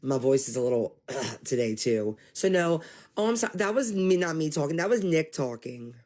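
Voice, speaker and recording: Male streamer, slightly higher voice, animated, exasperated commentary. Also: moderate pace. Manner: animated, exasperated, addresses viewers as y'all. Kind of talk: casual livestream commentary.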